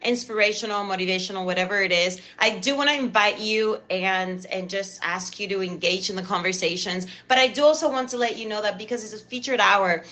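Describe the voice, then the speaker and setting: A woman's voice: medium pitch, a little fast, hardly any pauses, pushed and bright. A woman in her thirties from Cuba with a Cuban accent, hosting a live stream talk show in an effusive, chatty way. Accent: Cuban Spanish. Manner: effusive and chatty, repeats thanks.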